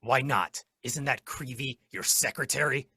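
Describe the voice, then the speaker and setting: An actor playing a stern, righteous masked crime fighter in an old-time radio drama, with formal, deliberate, commanding phrasing. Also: male voice, sits low, sharp tone, breathy edge, exaggerated voice. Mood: urgent, forceful.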